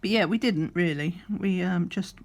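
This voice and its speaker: A middle-aged woman, slightly croaky, chatting in a rambling way while doing a craft, sometimes counting under her breath. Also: lowish voice, moderate pace. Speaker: crafting vlogger. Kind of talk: relaxed chatty craft vlog. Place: at a craft table indoors.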